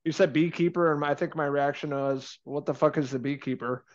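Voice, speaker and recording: A man's voice: on the high side, fairly quick. Chatty man on a dull lo-fi call. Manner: relaxed, chatty, laughs easily. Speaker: movie review co-host. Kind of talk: casual film review chat. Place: home room indoors. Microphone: video call webcam.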